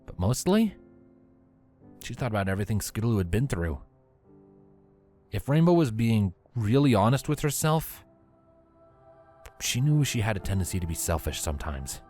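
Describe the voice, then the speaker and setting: A man's voice: fairly low pitch, big pitch swings, moderate pace, long pauses, darkish tone, faint music behind. A man reading scripted story narration as the narrator of an audio storybook.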